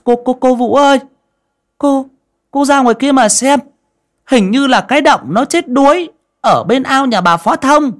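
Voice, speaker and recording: A man's voice: extremely high pitch, lively intonation, a little slow, pitched above the performer's own voice. A man doing a stammering, panicked boy. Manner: stammering, urgent. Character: panicked young village boy, breathless.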